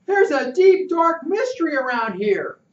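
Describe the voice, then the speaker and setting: Grandpa doing a squeaky little camper boy, all worked up, way up high, echoey room far from the mic. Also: sing-song, unhurried, muffled recording, full character voice. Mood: eager. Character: excitable young boy camper.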